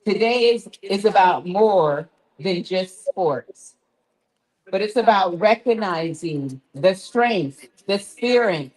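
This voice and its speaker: Slow-paced woman giving a speech. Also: mid-range voice, animated, darkish tone, poor audio. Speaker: college president. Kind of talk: formal welcome speech.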